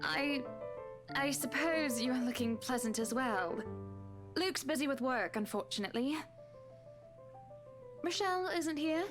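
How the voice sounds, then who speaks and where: A woman's voice: sits high, lively intonation, unhurried, pauses often. A woman playing a refined, polite, snobbish socialite wife in a video game, with a prim, genteel manner. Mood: polite, reserved. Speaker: character voice actor in a visual novel. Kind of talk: voiced game dialogue. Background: music.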